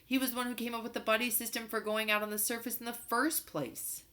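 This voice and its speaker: Woman reading as insistent young boy, bright voice. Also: on the high side, little pitch movement, fast talker, voice pushed up. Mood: protesting. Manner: insistent, argumentative. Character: young boy Dashiell, precocious, stubborn moon-base kid.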